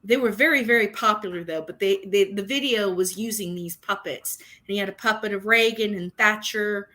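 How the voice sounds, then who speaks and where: A middle-aged woman co-hosting a livestream in a bright voice. She chats casually and often trails off while searching for a word. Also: medium pitch, moderate pace. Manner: chatty, loses her words mid-sentence. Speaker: livestream co-host. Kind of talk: casual chat.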